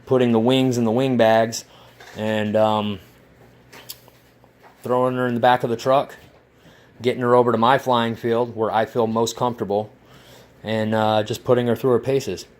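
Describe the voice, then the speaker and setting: A man vlogging casually about his model plane build, rambling and swearing with excitement. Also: mid-range voice, normal speed, long pauses. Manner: rambling, profane, enthusiastic. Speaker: RC hobby vlogger. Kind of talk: casual workshop vlog.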